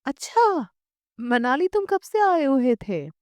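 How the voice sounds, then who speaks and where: Lady reader doing an excitable woman in an audiobook, high lively voice, chiding an old friend, exclaims a lot. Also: slow pace, no room sound, clean studio sound, heavily acted. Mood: surprised, delighted. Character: unmarried woman, excitable, reproachful, eager to keep company. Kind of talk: audiobook short story dialogue.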